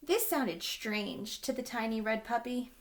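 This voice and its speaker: A woman reads a picture book aloud to young children. Her voice sits fairly high and moves up and down a great deal, leaning into words for emphasis. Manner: expressive, with drawn-out emphasis for young listeners. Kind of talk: animated storybook read-aloud.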